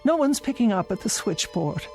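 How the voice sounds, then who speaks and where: A woman's voice: medium pitch, very animated, normal speed, warm tone, faint music behind. An actress playing a frail, anxious elderly woman who lives alone, hesitant and fretful, in a radio drama. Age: older.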